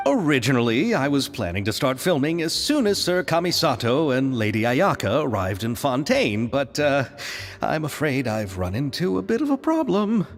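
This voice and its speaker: Excitable, flustered film director. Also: male voice, medium pitch, moderate pace, in a large hall. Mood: worried, apologetic. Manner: hesitant, flustered, effusive. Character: earnest, anxious, excitable male film director. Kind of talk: video game voice acting. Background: music.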